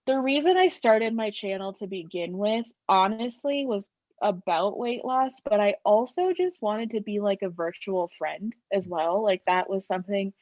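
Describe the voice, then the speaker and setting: A woman's voice: mid-range voice, animated, moderate pace, darkish tone, no echo, dull audio. A young woman in her twenties, a podcast guest chatting casually with lots of fillers and laughter, with a slightly nasal voice. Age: 20s. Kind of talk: casual chat.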